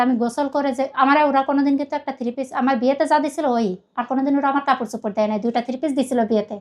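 A woman's voice: sits high, darkish tone. A middle-aged woman with a Bangladeshi accent giving an emotional personal account, earnest and rambling, often repeating herself. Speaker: guest telling their life story.

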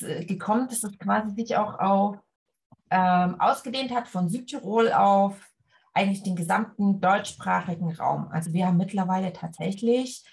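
Woman from leipzig, 40s, sewing teacher chatting over webcam, middle pitch, lots of ähm. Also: vocal fry. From Leipzig, Germany. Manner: relaxed, talks with her hands, many fillers. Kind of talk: casual video-call interview. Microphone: webcam on a video call.

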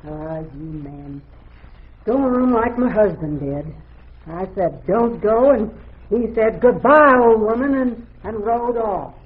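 An actress playing a feisty, middle-aged frontier wife with a folksy, scolding manner in an old-time radio drama. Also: female voice, a little high, expressive, takes it slowly, slightly rough, heavily acted. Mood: wry. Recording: muffled, tinny old radio recording.

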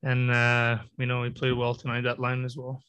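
A man in his twenties, a hockey player answering questions at a postgame press conference, halting and filling his speech with "you know" and "I mean". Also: mid-range voice, completely flat delivery, fairly bright tone, clear, resonant tone, dry recording. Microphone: table mic in front of him.

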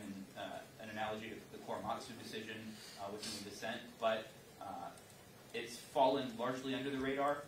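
A man asks a formal question from the audience of a public meeting. His voice is fairly low and very even in pitch, picked up from a distance in an echoing room. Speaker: audience questioner, lawyer and lobbyist. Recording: echoey room, distant mic, clear noise.

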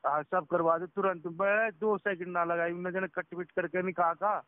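High-voiced man on a phone line. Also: flat delivery, normal speed, no echo. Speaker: phone caller, a driver recounting being scammed. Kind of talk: casual phone conversation, recounting an incident. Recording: tinny phone call recording.

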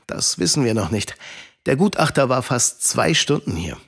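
A man's voice: mid-range voice, sing-song, moderate pace, vocal fry, breathy edge, exaggerated voice. A man playing a kindly, patient grown-up storyteller explaining things to children in an audio drama.

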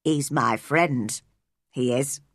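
A woman voicing a gruff, blunt, lonely old male gardener in a storybook reading. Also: extremely low pitch, extremely slow, a bit bright, no room sound, clean studio sound, voice pushed down, full character voice. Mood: fond. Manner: blunt, curt.

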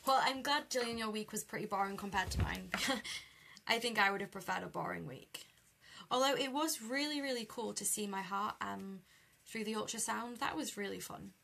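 A young British woman talks through a live craft demo in a friendly, chatty way, laughing easily. Her voice is croaky and gravelly with a bright, forceful edge. A faint hiss sits under the recording. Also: medium pitch, normal speed. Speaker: card-making demonstrator. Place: indoor craft desk. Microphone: overhead camera over a desk.

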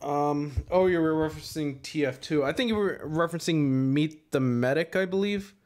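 A worn-out young man in his twenties talks through viewer questions in a loose, rambling way full of ums. His voice sits a little high for a man. He speaks into a close boom-arm mic in a small room at home. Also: moderate pace. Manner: relaxed, tired, rambling with fillers. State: exhausted. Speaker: streamer answering chat. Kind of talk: casual live-stream chat. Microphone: studio mic on boom arm, headset on.

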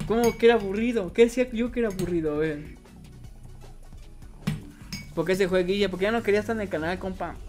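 A man's voice: high-pitched, fast talker, pauses often, darkish tone, soft background music. A young man with a Mexican accent chatting casually on a game livestream, reading viewers' comments aloud and answering them. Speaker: streamer. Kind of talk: casual livestream chat while gaming.

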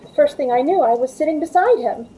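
A woman's voice: high-pitched, expressive, fast, dark tone, poor audio. A middle-aged woman playing an anxious, hesitant waitress in a 1940s-style radio drama, earnest and pleading. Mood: uneasy recounting. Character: anxious young waitress telling her frightening story. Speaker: actor in a radio play. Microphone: video call from a home room. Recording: faint noise on home video call.

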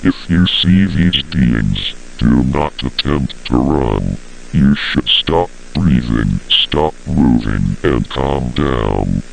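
A man voicing a grave, commanding emergency alert announcer with a solemn, flat delivery in a scripted horror broadcast. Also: a bass voice, takes it slowly, gravelly, slight background noise, full character voice, low-quality recording. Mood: grave warning.

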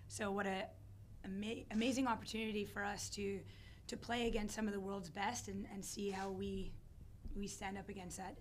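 A middle-aged woman head coach with a hoarse, worn-out voice, answering questions calmly at a postgame press conference on a desk mic. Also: mid-range voice, a little hiss or hum. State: hoarse, losing her voice. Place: press conference room, seated at a table. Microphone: desk microphone on a stand.

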